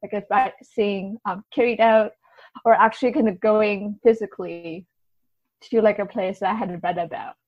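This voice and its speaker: A woman speaking as an interview guest, casually recounting experiences in a halting, think-aloud way. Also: medium pitch, fairly even intonation, no room sound, lo-fi sound. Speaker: podcast guest, writer and translator.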